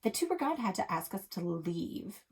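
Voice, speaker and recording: A woman's voice: mid-range voice, very animated, a little slow, a little mellow, slightly rough, full character voice. A woman voicing a haughty, skeptical older sister from a children's novel, scornful and challenging. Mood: accusing.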